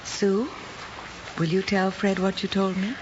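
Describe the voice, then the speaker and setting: A woman plays a composed, probing schoolteacher in a mid-century screen drama. She speaks in a measured, tactful manner. The soundtrack is muffled with clear noise behind her. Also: mid-range voice, flat delivery, close to an everyday voice. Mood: calm, probing. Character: composed, probing female schoolteacher, concerned and fair. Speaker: teacher. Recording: muffled, noisy old film soundtrack.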